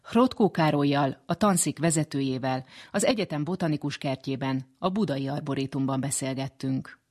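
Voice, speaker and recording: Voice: medium pitch, darkish tone, no echo, studio quality. A radio host reporting on science and interviewing experts.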